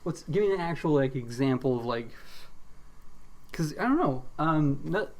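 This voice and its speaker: A man co-hosting a comedy podcast, chatting casually with dry, deadpan humor. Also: fairly high pitch, moderate pace. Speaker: podcast co-host.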